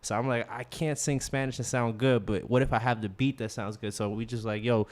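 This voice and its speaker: A man's voice: medium pitch, a little fast. A man in his twenties from Queens, New York, a rapper chatting casually in a studio interview and acting out what he said to others. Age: 20s. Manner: animated, acts out quotes, restarts often. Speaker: rapper guest.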